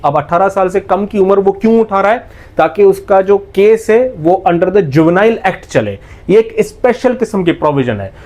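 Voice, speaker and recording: A man's voice: high-pitched, darkish tone. A man with a Pakistani accent giving an explanatory lecture, didactic and step by step, restating points with emphasis and mixing in English legal terms. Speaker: law lecturer.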